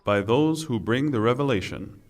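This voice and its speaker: A man's voice: medium pitch, expressive. Solemn man reading scripture with long reverb. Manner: solemn, deliberate, formal. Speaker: reader of Quran translation.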